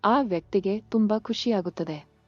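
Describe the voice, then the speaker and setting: A woman narrates a children's story from a script. Her pitch is medium, and the recording is a little dull with soft music underneath. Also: normal speed, no echo. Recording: muffled lo-fi sound. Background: faint music.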